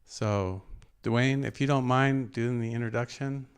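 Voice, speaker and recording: A man hosts a spiritual workshop and welcomes everyone in earnest, poetic phrases. He speaks slowly with a gravelly voice. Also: medium pitch, fairly even intonation. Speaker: workshop host.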